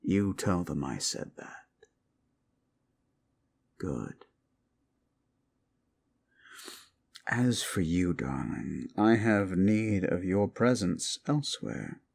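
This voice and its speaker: A paranoid yandere prince, voiced by a man, in an audio drama, with a very deep, slow, gravelly delivery. He coaxes and threatens in the same quiet breath, slipping into whispers. Faint music plays behind him. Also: lively intonation, exaggerated voice. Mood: possessive. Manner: soft-spoken menace, sudden unsettling laughter, whispered asides. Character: unhinged paranoid possessive young prince, menacing.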